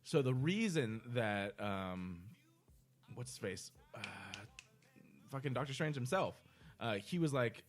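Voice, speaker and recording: A man's voice: mid-range voice, slow, faint music behind. Profane man in casual podcast chat. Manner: profane, animated explaining, acts out quotes. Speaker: podcast co-host. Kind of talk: casual chat, film discussion.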